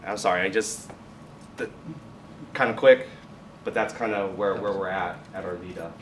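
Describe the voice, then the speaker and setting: A low-pitched man gives an informal teacher's talk. He halts and rephrases often. The sound is roomy and picked up from a distance, with faint noise behind him. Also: fairly even intonation. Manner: halting, self-correcting, restarts phrases. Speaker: high school biotech and science teacher, guest speaker. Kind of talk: informal presentation with question and answer. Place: classroom or lab at a college. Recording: echoey room, distant mic, faint noise.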